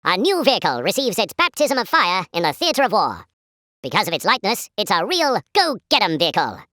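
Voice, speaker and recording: A woman's voice: medium pitch, wildly swooping pitch, brisk, sharp tone, no echo, studio quality. A woman playing a pompous, chipper, patriotic British newsreel announcer in 1940s style, speaking formal theatrical commentary for a game. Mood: triumphant.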